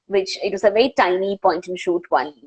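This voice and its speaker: A woman's voice: mid-range voice, brisk, darkish tone, husky, rough recording. A young woman from India with an Indian accent, a wildlife filmmaker answering questions in a live online interview, earnest and full of "uh" and restarts. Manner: earnest, many "uh" fillers and restarts. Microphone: video call.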